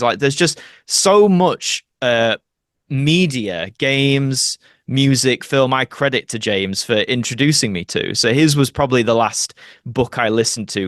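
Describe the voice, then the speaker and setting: A British man speaks as a podcast guest in a casual, explanatory style. His mid-pitched voice is recorded dry, with very little room sound, and his delivery is earnest and conversational. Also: no room sound. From United Kingdom.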